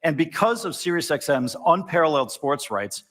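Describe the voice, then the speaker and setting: A man's voice: on the high side, animated, moderate pace, a bit bright. A man giving a polished, promotional corporate product demo. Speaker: product presenter.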